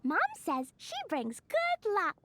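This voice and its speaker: Bubbly little girl, very high squeaky pitch, jumpy lively tone. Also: takes it slowly, no echo, exaggerated voice. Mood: excited. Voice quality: bubbly, excitable. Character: cheerful small child girl. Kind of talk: game voice acting.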